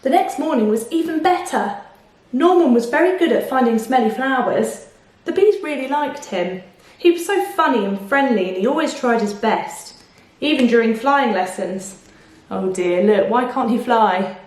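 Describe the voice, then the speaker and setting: A woman reading a picture book aloud to children, expressive, with explanations and questions to the listener. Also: on the high side, moderate pace. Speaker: children's storyteller. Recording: echoey room.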